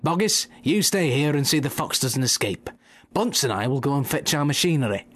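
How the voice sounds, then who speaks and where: A man reading a storybook aloud and voicing a tall, thin, ruthless farmer who talks in a drawn-out, commanding way. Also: mid-range voice, sing-song, fairly bright tone, pitched above the performer's own voice.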